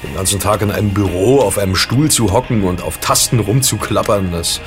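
An actor playing an easygoing young night porter in a German radio play, with a casual, colloquial way of talking. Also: male voice, fairly low pitch, a little fast, soft background music, natural voice. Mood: wry.

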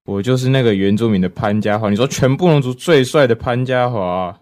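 A man's voice: medium pitch, a little fast, a little mellow, lightly acted. Man playing a casual young male schoolmate. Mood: playful. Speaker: radio drama actor. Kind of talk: radio drama dialogue.

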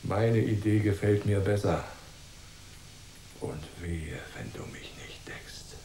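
An actor playing a hot-tempered, bullying crooked cop in a noir radio drama, sneering and threatening. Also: male voice, lowish voice, slow, echoey room, very noisy. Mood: menacing. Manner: sneering, threatening, gruff-spoken.

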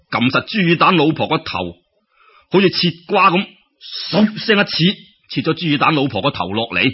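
Cantonese guy doing old-school dramatic storytelling, highish husky voice, swoopy delivery, muffled lo-fi sound. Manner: theatrical, exclamatory, colloquial. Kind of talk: dramatic traditional folk-tale narration.

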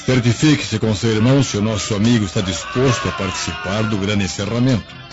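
An actor playing a cruel, commanding Roman emperor, formal and imperious, in a radio drama. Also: male voice, lowish voice, a little fast, natural voice. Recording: dull lo-fi sound, music behind.

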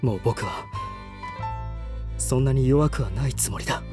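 An actor plays a courteous, quietly resolute teenage boy with a mid-range voice. He speaks earnestly and formally, in voice drama style with music clearly behind. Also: medium pitch, normal speed, lightly acted. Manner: soft-mannered, polite, formal. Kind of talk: voice drama acting.

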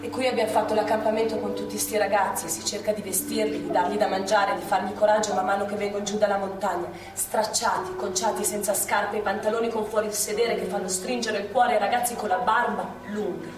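A woman's voice: mid-range voice, fairly even intonation, lightly acted. A woman playing an impassioned young partisan woman. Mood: recalling. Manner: halting, impassioned storytelling. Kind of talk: stage monologue. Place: theatre stage. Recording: echoey stage from far off with music.

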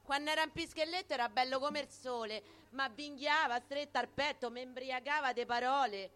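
Roman lady doing dialect poetry, high bright voice, really performing it. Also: brisk. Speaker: poetry reader. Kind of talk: theatrical Roman dialect verse recitation.

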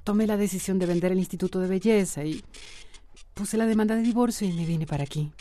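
A woman's voice: medium pitch, fast, dark tone, natural voice. An actress playing a troubled, secretive woman in a radio soap, hesitant and earnest. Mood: confused, troubled. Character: troubled, secretive, independent woman confiding in a friend. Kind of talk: radio soap opera acting.

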